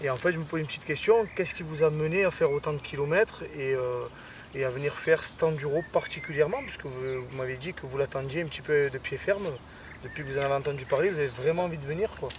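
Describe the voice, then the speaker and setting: Man, interviewer, quick talker, fairly high voice, far from mic, noisy crickets. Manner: chatty, friendly questions. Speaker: interviewer at fishing event. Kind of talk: casual interview. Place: outdoors by a lake. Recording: distant mic, loud nature noise. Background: crickets and rural ambience.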